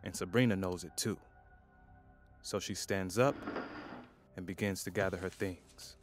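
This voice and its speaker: Slow, low-voiced male narrator. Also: fairly even intonation. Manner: measured, with weighty pauses. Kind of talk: scripted dramatic narration. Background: faint music.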